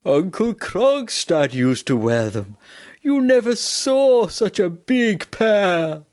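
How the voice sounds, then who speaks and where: An earnest, fretful suitor from a stage parody, acted out. He is fussy and exclamatory, stretching words slowly with lively swings in pitch, recorded close and dry. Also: no room sound. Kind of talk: comic stage-play acting.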